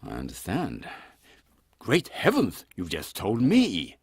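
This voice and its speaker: A man's voice: lowish voice, huge pitch swings, slow, husky, dry recording, clean studio sound, exaggerated voice. A man voicing a flustered, deferential British army captain who is pompous and stammering, in a steampunk audio comedy. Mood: astonished. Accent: British English. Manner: stammering, pompous, easily shocked.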